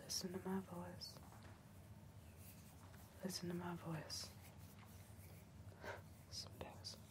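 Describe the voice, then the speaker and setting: A young woman playing a wary, bossy criminal who acts as hypnotist in a whispered ASMR roleplay, soft, slow and deliberate. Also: on the low side, monotone. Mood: hypnotic, soothing. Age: young adult. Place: dim indoor room.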